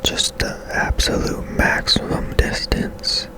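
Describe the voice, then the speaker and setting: Whispering, slow ASMR game host. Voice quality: soft, breathy whisper. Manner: slow, gentle, unhurried with pauses. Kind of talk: ASMR gameplay commentary.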